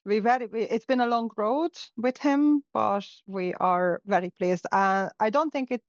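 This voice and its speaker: A middle-aged woman from Hungary, a native Hungarian speaker, reflects in a relaxed interview. Her pitch sits in the middle range, and she often pauses with fillers and restarts her sentences. The sound is dry and a little muffled, like a lo-fi recording. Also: no room sound. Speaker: guest. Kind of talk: casual interview conversation. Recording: dull, lo-fi sound.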